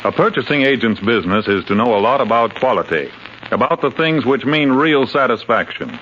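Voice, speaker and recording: Bright, animated man giving testimonial. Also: medium pitch, brisk. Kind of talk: scripted 1950s radio testimonial. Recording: dull vintage radio recording, faint noise.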